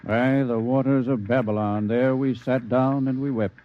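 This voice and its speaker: An actor playing a polite, compassionate civilian scientist with a reasoned manner in a 1950s radio drama. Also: male voice, medium pitch, fairly even intonation, normal speed, close to an everyday voice. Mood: sympathetic, reproachful. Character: courteous, compassionate male civilian scientist, quietly principled. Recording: dull old radio recording.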